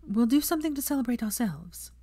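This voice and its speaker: A woman's voice: on the high side, animated, fairly quick, dark tone, voice pushed up. A woman voicing a kindly, no-nonsense young woman in an audiobook, earnest and articulate. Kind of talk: fan fiction audiobook character voice.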